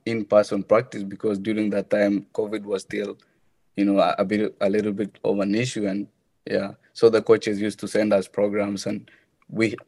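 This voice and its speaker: Young guy, low really flat voice, soft and hesitant, dry sound. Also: no echo. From Kenya. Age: young adult. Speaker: college runner being interviewed.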